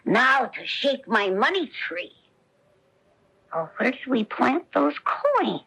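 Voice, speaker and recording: A high, bright little puppet boy for a 1970s radio play. He speaks slowly, with childlike earnestness and a gullible, trusting innocence. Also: very animated, creaky, husky, full character voice, poor audio. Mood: cheerful, puzzled. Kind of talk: 1970s radio adventure theater acting.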